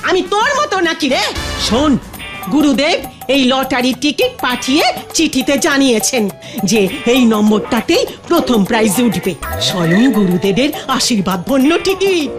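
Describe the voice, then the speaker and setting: A woman's voice: high-pitched, very animated, normal speed, music in the background. A woman voicing a bossy, scolding character in a cartoon who trusts her guru.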